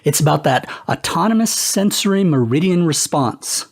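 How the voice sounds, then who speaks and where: A podcast panelist improvising a comedic pitch in casual chat, with a playful, halting delivery. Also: expressive, slightly rough.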